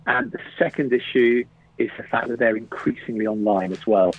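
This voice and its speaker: A man with an English accent, a guest consultant, answering interview questions in a measured, methodical way. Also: mid-range voice, animated. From England. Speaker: guest, print sales consultant. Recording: dry, tinny phone line.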